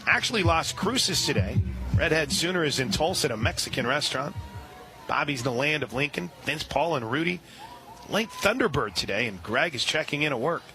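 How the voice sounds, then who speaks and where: A man calling a softball game live on radio, steady between pitches and reading listener shout-outs. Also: fairly quick, rough recording. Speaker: radio play-by-play announcer. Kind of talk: live radio sports commentary.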